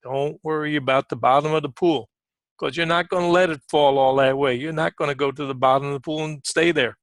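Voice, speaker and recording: Gravelly man giving informal trading commentary. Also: a little high, steady tone, fairly quick, dry recording, clean studio sound. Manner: frequent throat clearing. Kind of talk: informal live market commentary.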